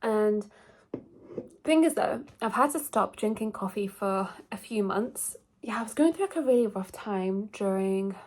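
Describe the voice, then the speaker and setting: Young woman, stuffy congested voice, chatty and rambling, casual vlog at home, mid pitch. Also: expressive, a little slow, darkish tone. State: congested from a cold. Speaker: beauty vlogger. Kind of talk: casual get-ready-with-me chat. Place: at home, shared house.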